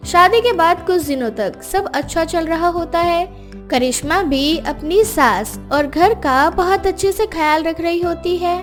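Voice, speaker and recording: A woman's voice: very high voice, measured pace, faint music behind. A woman giving scripted narration for a moral story.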